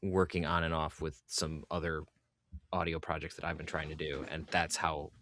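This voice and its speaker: A man's voice: extremely low pitch, flat delivery, normal speed, fairly bright tone, a little hiss or hum. A man interviewing on a podcast in a casual, informal and agreeable chatty way. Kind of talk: casual chat.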